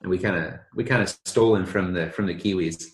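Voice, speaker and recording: Man, podcast host, rambling, laid-back slang, very low voice, roomy echo. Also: fairly quick. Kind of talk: casual interview chat.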